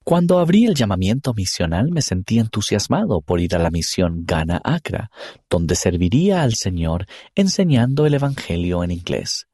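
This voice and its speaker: Husky, animated male reader. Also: lowish voice, moderate pace, dry recording, clean studio sound. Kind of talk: scripted first-person narration.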